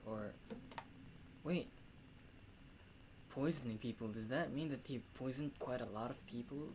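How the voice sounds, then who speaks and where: A game streamer giving casual reaction commentary, blunt and slangy, puzzling things out aloud. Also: mid-range voice. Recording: very noisy, game sound behind.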